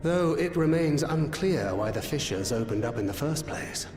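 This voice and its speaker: An actor playing a sober, watchful comrade in a fantasy video game, with a formal, matter-of-fact delivery. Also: male voice, a little high, lively intonation, moderate pace, a little mellow, roomy sound, long reverb tail, soft background music, natural voice.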